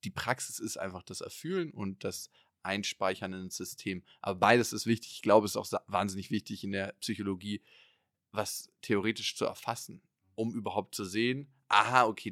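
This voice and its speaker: A man in a relaxed podcast conversation, talking in long loose trains of thought with many fillers. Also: on the low side, brisk, dry recording, clean studio sound. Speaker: podcast guest and entrepreneur.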